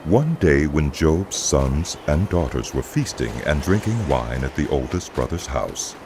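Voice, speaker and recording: Extremely deep, solemn male narrator. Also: very animated, unhurried, noisy background. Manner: solemn storytelling. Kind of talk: scripted dramatized Bible narration.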